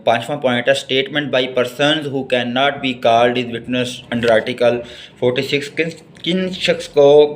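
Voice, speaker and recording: A man's voice: mid-range voice, a little hiss or hum. A man with a Pakistani accent lecturing on law, mixing Urdu and English as he reads out and explains.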